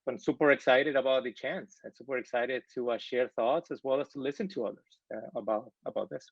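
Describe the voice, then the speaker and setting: A man's voice: mid-range voice, fairly even intonation, fairly quick, vocal fry, dry recording, dull audio. A man, a panelist talking eagerly with many uhs and repeats. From Ecuador. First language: Spanish. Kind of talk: casual panel talk.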